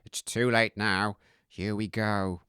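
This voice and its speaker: Deep, gruff conspirator played by a man. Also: level tone, slow pace, bright, forceful tone, dry recording, studio quality. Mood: impatient, conspiratorial. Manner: terse conspiratorial muttering. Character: gruff, impatient male backstage accomplice, muttering orders. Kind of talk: comic audio drama acting.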